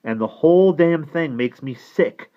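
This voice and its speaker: A man acts out a bitter, indignant young man in a pitched-up, very animated voice. He rants with sardonic emphasis, as a character in a dramatic reading. The recording is a little dull. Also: unhurried. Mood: exasperated, indignant. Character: young man, bitter, indignant, griping about his boss.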